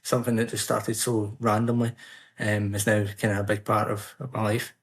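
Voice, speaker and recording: A man from the United Kingdom, a podcast guest giving measured, explanatory answers in a casual interview. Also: lowish voice, level tone. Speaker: podcast guest, Swiss football commentator.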